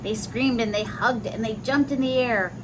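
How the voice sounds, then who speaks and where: A woman reading a children's picture book aloud, pausing to explain the pictures in simple words for young listeners. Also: fairly high pitch, background noise.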